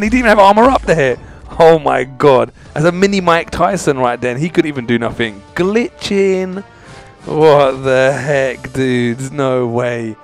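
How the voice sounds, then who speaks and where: A man hosting a gaming channel, chatting casually and in exasperation to his viewers as he comments on his play. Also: mid-range voice. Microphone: voice-over over mobile game footage.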